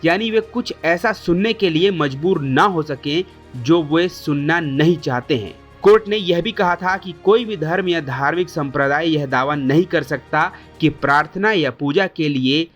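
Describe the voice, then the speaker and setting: Higher-pitched man reading a news explainer. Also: normal speed, few pauses, a bit bright, muffled recording, faint music behind. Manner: formal, addresses viewers with rhetorical questions. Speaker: news presenter. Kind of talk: scripted news explainer narration.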